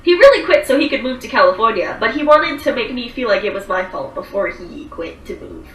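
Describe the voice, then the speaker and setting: A woman's voice: on the high side, big pitch swings, brisk, a bit bright, echoey room, far from the mic, rough recording. A woman in her twenties chatting casually on a livestream, rambling with lots of fillers as she answers viewer comments. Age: 20s.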